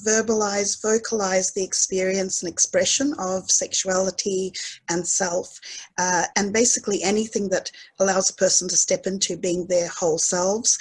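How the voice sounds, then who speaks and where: Husky Australian woman in her forties. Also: mid-range voice, low-quality recording. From Adelaide, Australia. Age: 40s. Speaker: panelist. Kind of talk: casual self-introduction. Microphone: video call.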